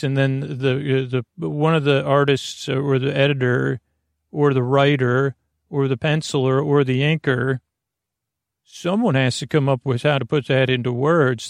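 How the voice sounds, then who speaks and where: A man with a creaky voice hosting a podcast, delivering a meandering bedtime monologue with halting, repeated words and tangents. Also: medium pitch, no echo, studio quality. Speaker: podcast host.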